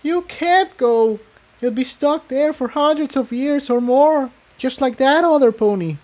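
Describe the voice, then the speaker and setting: A man puts on a worried female pony from a fantasy storybook. Her voice is pitched high, and she pleads in an earnest, urgent way. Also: unhurried, darkish tone. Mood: urgent pleading.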